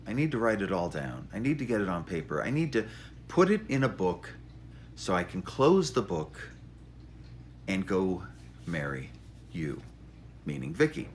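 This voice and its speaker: Male author, informal monologue. Very expressive pitch, wry and self-deprecating, some background noise. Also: normal speed, pauses often. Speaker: author introducing his memoir. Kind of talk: informal spoken monologue, storytelling.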